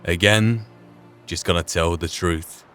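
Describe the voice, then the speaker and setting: A man's voice: deep voice, fairly even intonation, unhurried, a bit bright, soft background music. A man giving reflective, musing commentary as he plays a role-playing game. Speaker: gaming channel host.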